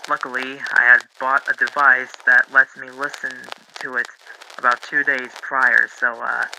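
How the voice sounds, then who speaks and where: Male actor for young 1920s interview guest: unsure, courteous, mid-pitched with vocal fry, clearly noisy crackling recording. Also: little pitch movement, bright, forceful tone, heavily acted. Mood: polite, hesitant. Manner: halting, polite, unsure. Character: hesitant, polite young man giving a 1920s radio interview. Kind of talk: recounting memories in a staged interview.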